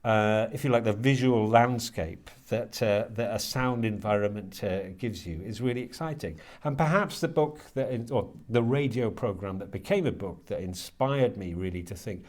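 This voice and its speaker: A man's voice: lowish voice, a little mellow. Hesitant British man in his fifties. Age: 50s. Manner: hesitant, halting, searching for words with repeated starts. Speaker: interviewee, writer and lecturer. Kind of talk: reflective interview talk.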